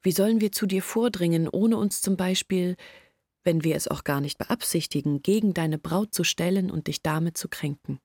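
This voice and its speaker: An actress playing an anxious young village woman who earnestly pleads her family's case in a radio play, intimate and deliberate. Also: lowish voice, darkish tone, slightly rough, no room sound, studio quality. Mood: anxious, reasoning. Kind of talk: literary radio play monologue.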